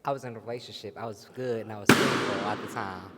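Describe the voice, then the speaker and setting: A man's voice: fairly low pitch, steady tone, fast, very noisy. A man in his mid-twenties, a dating show contestant, candid and easygoing. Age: 20s. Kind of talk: casual chat. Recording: echoey hall.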